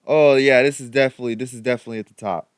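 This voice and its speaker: A man's voice: mid-range voice, steady tone, rapid-fire, smooth. A young man hosting a reaction video, chatting casually in slangy, informal language and often repeating himself.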